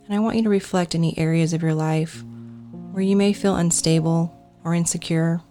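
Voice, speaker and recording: A woman leading a guided meditation in a calm, soothing, deliberate manner. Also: fairly low pitch, soft background music.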